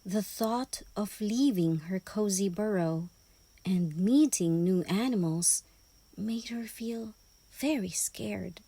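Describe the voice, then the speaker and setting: Slow, mellow woman reading a children's story. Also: medium pitch, breathy edge, no echo. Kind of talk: scripted read-aloud narration for young children.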